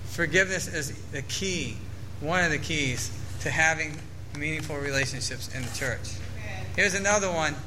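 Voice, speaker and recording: A man's voice: on the high side, normal speed, background noise. A man preaching a sermon to his church, earnestly reading scripture aloud and explaining it. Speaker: pastor.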